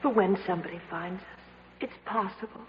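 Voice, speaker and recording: A woman's voice: medium pitch, a little fast, natural voice. A woman playing a frightened, starving captive, weak and faltering, in old-time radio drama style. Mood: weak despair. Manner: faint, faltering, plaintive. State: weak, cold, thirsty. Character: weary, frightened, starving woman trapped in darkness. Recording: dull, reverberant old radio recording, faint noise.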